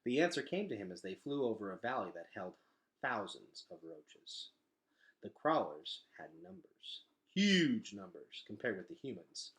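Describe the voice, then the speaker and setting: A man reading a children's novel aloud in an expressive, dramatic storytelling style. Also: lowish voice, moderate pace. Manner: animated, dramatic delivery.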